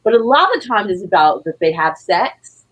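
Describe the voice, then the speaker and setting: A woman's voice: medium pitch, big pitch swings, normal speed, husky, low-quality recording. A woman guest chatting casually in an informal, gushing, rambling way. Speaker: guest, playwright and audio drama creator. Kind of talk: casual chat.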